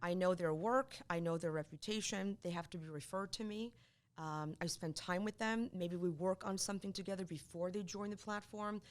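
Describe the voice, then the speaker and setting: A middle-aged woman from Poland, whose first language is Polish, speaking as a divorce attorney in an interview, emphatic and quick to repeat herself when excited. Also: lowish voice. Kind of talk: conversational interview.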